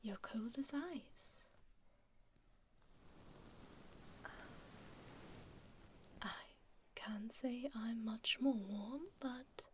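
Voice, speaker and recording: A tender, aristocratic vampire duchess, acted by a woman, whispers in a higher feminine voice for an ASMR roleplay. Her breathy delivery is very unhurried and soothing, close on the mic with some background noise. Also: darkish tone. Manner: soothing, slow, elegant, tender. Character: gentle, refined vampire duchess tending a wounded puppy. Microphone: close binaural microphone.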